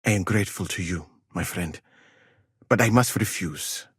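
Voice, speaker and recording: Learned medieval traveler from mali, video game dialogue, lowish husky voice, formal n polite, measured, super dry sound. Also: male voice, no echo. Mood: composed, courteous. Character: learned, courteous medieval man from Mali, well-travelled scholar.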